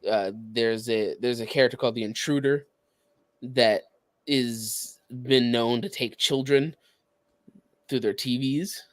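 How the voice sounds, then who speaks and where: Casual man, halting explainer, close dry sound. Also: mid-range voice, fairly even intonation, no echo. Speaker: podcast co-host. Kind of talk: casual podcast chat.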